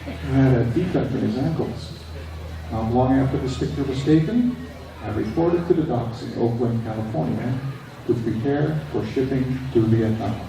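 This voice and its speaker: A man's voice: mid-range voice, normal speed. An older man from Indonesia giving a prepared speech to an audience at a veterans event, with dry, plain-spoken humour. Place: large echoey hall, recorded from far off. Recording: echoey hall, distant camera, background noise.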